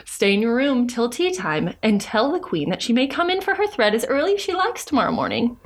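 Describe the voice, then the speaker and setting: A woman's voice: on the high side, sing-song, brisk. A woman voicing a bargaining old helper woman in a storybook fairy tale, coaxing and matter-of-fact. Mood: assured.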